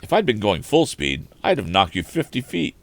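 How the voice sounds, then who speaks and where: A man's voice: on the low side, wildly swooping pitch, normal speed, no room sound, full character voice. A man voicing an earnest, polite young inventor from a storybook, well-spoken and conversational. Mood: nettled.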